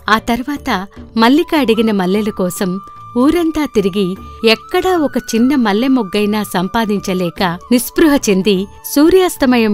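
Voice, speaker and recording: Lively high-voiced woman storyteller. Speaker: narrator. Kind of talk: scripted folktale narration. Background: background music.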